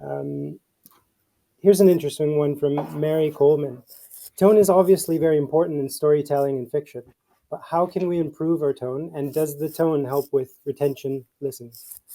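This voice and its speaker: A man's voice: fairly high pitch, lively intonation, moderate pace, mellow, rough recording. A man hosting a question session, passing along audience questions in an informal, appreciative way. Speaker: session host.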